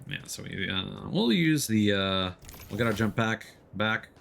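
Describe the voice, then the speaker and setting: Male game streamer, slightly deep voice, easygoing explainer, casual play-by-play over some game noise. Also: animated, a little slow, vocal fry, a bit bright. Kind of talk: casual gameplay commentary, explaining as they play. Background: video game sound.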